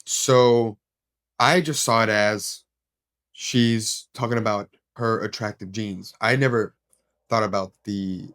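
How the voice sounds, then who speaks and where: A man hosting a podcast, speaking in a measured, thoughtful way that hedges his opinions. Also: lowish voice, steady tone, a bit bright. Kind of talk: casual podcast chat.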